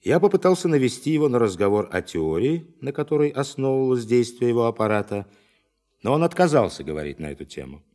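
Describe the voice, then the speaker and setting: An actor playing a forceful science official who addresses a meeting formally and emphatically in a radio play. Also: male voice, medium pitch, big pitch swings, quick pace, lightly acted. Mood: earnest, emphatic.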